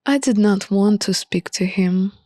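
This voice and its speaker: Slow-paced woman narrating a visual novel. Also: medium pitch, warm tone, dry recording, studio quality. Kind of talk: scripted first-person story narration.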